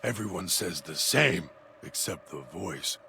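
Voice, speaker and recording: A man doing a gravelly deranged dog-creature. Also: low voice, expressive, pushed and bright, slight background noise, full character voice. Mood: eerie. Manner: broken third-person speech, halting. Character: deranged feral dog-creature, male, hungry. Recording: music bed underneath.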